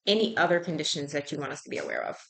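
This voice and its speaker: A woman's voice: sits low, animated, racing, creaky, a bit bright, low-quality recording. A woman conducting a clinical intake interview as a health professional, conversationally checking and repeating answers. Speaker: health professional taking a patient history.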